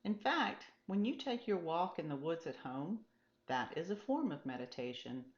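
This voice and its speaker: A woman voicing a calm, patient, encouraging meditation teacher in a storybook, gently reassuring as the teaching goes on. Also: sits low, moderate pace, reverberant, muffled recording. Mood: reassuring, instructive. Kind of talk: storybook character dialogue.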